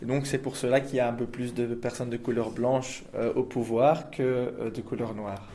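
A man's voice: medium pitch, flat delivery, moderate pace, mellow. A man giving a hesitant, informal opinion as an interviewee. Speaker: street interviewee.

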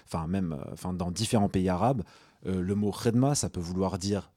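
A man from Tunisia, speaking as a guest on a radio talk show, often pausing and restarting his sentences. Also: sits low, mellow, no room sound. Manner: hesitant, many restarts and fillers. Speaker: guest activist. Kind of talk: radio discussion.

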